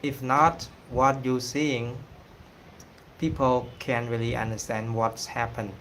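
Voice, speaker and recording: A man from Cambodia with a Cambodian accent, whose first language is Khmer, talking casually on a livestream and pausing often with fillers. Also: mid-range voice, flat delivery, fairly bright tone, a little hiss or hum, rough recording. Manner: hesitant, many pauses and fillers. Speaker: singing channel host.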